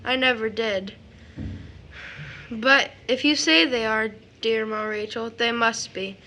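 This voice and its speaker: A woman's voice: fairly high pitch, steady tone, takes it slowly, pushed and bright, exaggerated voice. A woman playing a sweet, eager little boy who speaks in childlike, wondering questions in an audio drama. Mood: sweet, pleading. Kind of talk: stage drama audio acting.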